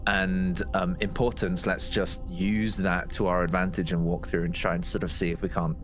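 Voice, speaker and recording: Low-voiced man recapping a tabletop game. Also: level tone, normal speed, over music. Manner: hesitant, repeats words and trails off. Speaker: player in a tabletop roleplaying game show. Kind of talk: casual spoken recap of game events.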